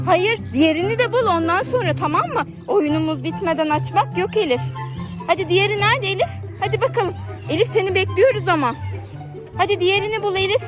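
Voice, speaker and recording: A woman's voice: very high voice, fast talker. A woman talking as a mother to her young child in playful casual chat, coaxing with short repeated prompts and questions. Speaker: mother hosting a family play video. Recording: music behind the voice. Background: background music.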